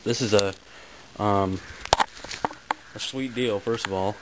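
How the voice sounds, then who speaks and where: A man's voice: fairly low pitch, slow. A man chatting casually in a rambling, slangy show-and-tell, recorded on a noisy amateur home video. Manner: slangy, laid-back phrasing. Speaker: vlogger showing his finds.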